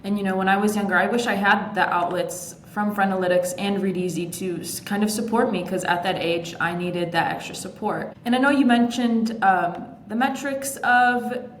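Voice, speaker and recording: A woman whose first language is Ukrainian hosts a podcast interview and asks friendly, halting questions full of fillers. Her voice sits at a middling pitch. It rings in a roomy, echoey space with a faint rumble behind it. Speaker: podcast host.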